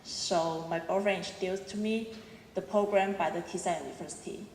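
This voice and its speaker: A woman's voice: on the low side, steady tone, moderate pace, darkish tone, a little hiss or hum. A young woman in her twenties with a Cantonese accent, giving an earnest prepared speech as a student at a ceremony, with small restarts. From Hong Kong. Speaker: student speaker. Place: large auditorium. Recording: echoey hall, roomy reverb.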